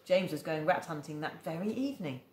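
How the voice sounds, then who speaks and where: A woman's voice: deep voice, fairly quick, reverberant. A woman teacher reading a children's novel aloud, acting out the dialogue. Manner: expressive, acts out the dialogue. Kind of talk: storybook read-aloud.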